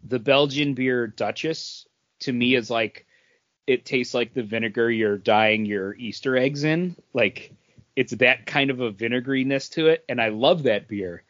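A man co-hosting a podcast in casual chat, with a rambling, halting delivery. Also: medium pitch, fairly bright tone. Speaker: podcast co-host. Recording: dull, dry lo-fi audio.

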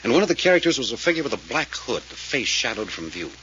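An actor playing a decisive, serious detective with a clipped, commanding manner in an old-time radio drama. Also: male voice, mid-range voice, big pitch swings, quick pace, a bit bright. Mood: grave, explanatory. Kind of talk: 1940s radio drama acting. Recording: muffled old radio recording, faint noise.

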